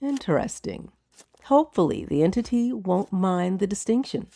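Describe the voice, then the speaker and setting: A woman's voice: medium pitch, big pitch swings, normal speed, vocal fry, dark tone, no room sound. An actress playing a poised, wry noblewoman of a wizard's tower in a fantasy audio drama. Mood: businesslike. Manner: dry, amused, composed.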